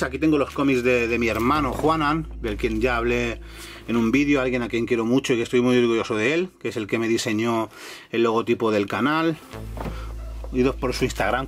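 A middle-aged man from Catalonia with a La Mancha accent, chatting enthusiastically to viewers as he walks through a room at home. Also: medium pitch. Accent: La Mancha Spanish. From Catalonia, Spain. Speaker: YouTube host. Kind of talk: casual room tour vlog. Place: home room full of shelves, indoors.

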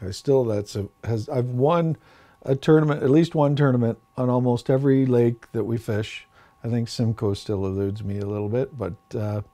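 A man in his thirties, a bass angler, speaking in an indoor interview in a reflective, halting way with many fillers. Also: dark tone. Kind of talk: sit-down interview.

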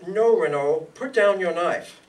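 A man's voice: sits high, unhurried. An older man playing an anxious, scheming married businessman in a radio play, earnest and pleading. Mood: firm. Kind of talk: old-time radio acting before an audience. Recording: live stage pickup, distant, background noise.

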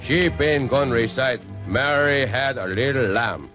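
A man's voice: medium pitch, unhurried, a bit bright, faint music behind, lightly acted. A man voicing a big, simple cartoon walrus who speaks ponderous on a children's record. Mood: stolid. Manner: ponderous, broken grammar.

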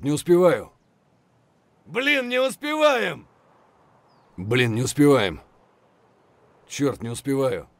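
An actor playing an aggressive, cursing Russian soldier in a military shooter game, with a rough, husky voice. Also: male voice, mid-range voice, no echo. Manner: barking, cursing. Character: aggressive, foul-mouthed Russian male soldier in combat.

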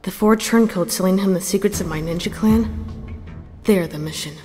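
A woman's voice: medium pitch, moderate pace, long reverb tail, close to an everyday voice. Casting: actress for a hard-boiled female mutant fox assassin, cool and loyal, narrating a comic over prominent music. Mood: cool, businesslike. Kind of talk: comic dub, first-person scripted narration. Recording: loud music behind the voice.

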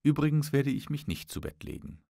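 A man reading the part of a proud, smooth-talking young gallant in a formal, insistent audiobook manner. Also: medium pitch, big pitch swings, a little fast, dark tone, no room sound, clean studio sound, voice pushed up. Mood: courtly, teasing.

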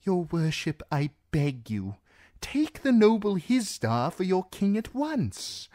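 A man voicing a fawning male seneschal with a shrill, whining voice. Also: wildly swooping pitch, slow pace, darkish tone, no room sound, clean studio sound, full character voice. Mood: pleading.

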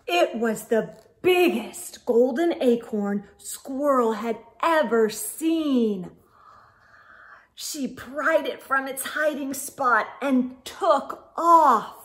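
Middle aged lady doing kids read aloud, high pitched, really slow, dramatic pauses, little oh asides. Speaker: children's storytime host. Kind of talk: expressive picture-book read-aloud for young children. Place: small indoor room with bookshelves.

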